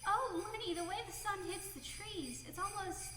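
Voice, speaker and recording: A woman's voice: very high-pitched, normal speed. A woman playing an anxious, sensible young girl, an earnest and bossy older sister, in a radio drama. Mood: amazed. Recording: echoey reverberant sound with faint noise.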